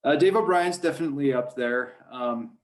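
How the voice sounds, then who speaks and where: A man chats casually as an interview guest with a medium-pitched voice. He rambles and hesitates, circling back over his words like a sports announcer off the clock. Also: normal speed. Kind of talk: casual podcast interview chat.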